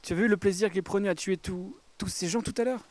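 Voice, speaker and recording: A man acts out an anxious young adventurer in an audio drama, with a high voice and a rapid delivery. He is irritable and blunt, quick to blame, and sounds exasperated and urgent. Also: darkish tone, dry recording, natural voice. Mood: serious, reproachful. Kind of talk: audio fiction acting.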